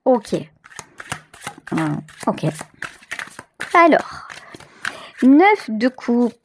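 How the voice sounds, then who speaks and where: A woman's voice: a little high, very animated, drawn-out words, a little hiss or hum. A woman hosting a tarot reading, talking conversationally to the viewer and feeling her way through phrases with emphatic repetitions. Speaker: tarot reader, channel host. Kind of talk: intuitive tarot reading, improvised commentary addressed to the viewer.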